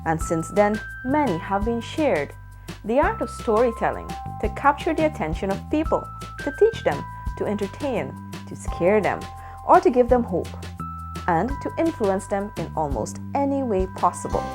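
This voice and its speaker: A woman's voice: mid-range voice, sing-song. Woman narrating over music. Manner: measured, rising on questions. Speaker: reporter narrating a feature. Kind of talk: scripted news feature narration. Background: music bed.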